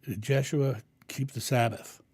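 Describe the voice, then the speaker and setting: A man with a booming voice hosting a live stream, answering viewers' questions in a rambling, animated way and acting out thoughts. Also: measured pace, breathy edge, no room sound, studio quality. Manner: animated, acts out inner thoughts with raised voice; rambling with frequent ums and restarts. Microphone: condenser mic through a mixing board.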